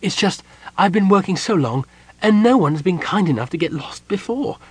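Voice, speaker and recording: A man voicing an excitable, emotional male gnome mapmaker in a fantasy audiobook, exclaiming and repeating himself. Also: a little high, a little fast, breathy edge, no echo, voice pushed up. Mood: weepy.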